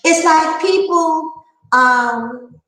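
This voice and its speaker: A woman's voice: high voice, very slow, pushed and bright, echoey room, soft background music, low-quality recording. A woman nearing fifty giving a candid opinion monologue, emphatic and repeating her phrases for effect. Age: late 40s. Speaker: online panel talker.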